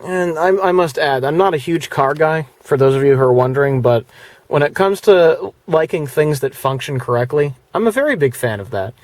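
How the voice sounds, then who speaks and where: A man's voice: fairly high pitch, lively intonation, normal speed, darkish tone, dry recording. A man talking in a casual, plainspoken, rambling way, like someone presenting a product review vlog. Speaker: video presenter.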